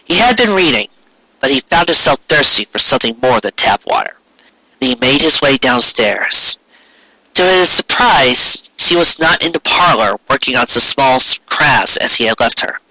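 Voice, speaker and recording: A man reading a story aloud as an expressive narrator. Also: medium pitch, moderate pace, no echo, rough recording. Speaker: narrator, fan fiction reader.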